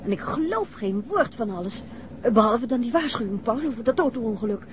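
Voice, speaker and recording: An actress playing a detective's curious, worried wife in a 1960s radio drama. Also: female voice, fairly high pitch, lively intonation, a little fast, close to an everyday voice. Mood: pensive, worried. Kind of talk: 1960s radio play acting. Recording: dull, noisy old radio recording.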